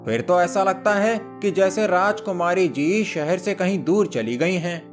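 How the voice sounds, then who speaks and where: A man's voice: high-pitched, a little slow, soft background music. A man voicing a concerned royal army man in a Hindi cartoon story.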